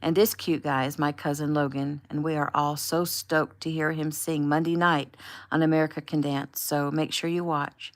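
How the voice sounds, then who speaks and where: A woman's voice: low voice, flat delivery, normal speed. A woman voicing a bubbly young woman who gushes while filming a live social media video. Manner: gushing, upbeat, chatty.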